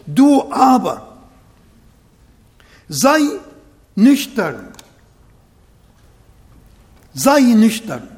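A man with an Arabic accent preaching a sermon and praying, slow and emphatic, repeating phrases to his congregation. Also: high-pitched, huge pitch swings, darkish tone, in a large hall. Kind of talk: sermon with prayer. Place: church hall, livestreamed service.

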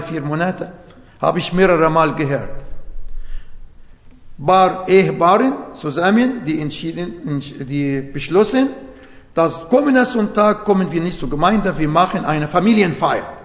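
A man whose first language is Arabic, preaching a sermon in German with grammar slips and many rhetorical questions, recorded in a church hall. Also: sits high. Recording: echoey hall with long reverb.

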